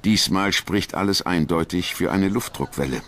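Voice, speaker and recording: A man's voice: deep voice, normal speed, a bit bright. A man reading scripted documentary narration as the narrator.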